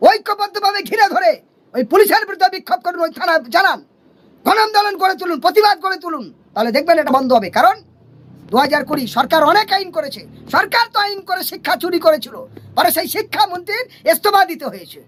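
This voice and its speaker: A man speaks in a strikingly high voice at a racing pace. He delivers a fiery political address as an activist, impassioned and combative, hammering his points through repetition. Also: animated, fast talker, a bit bright. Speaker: human rights activist.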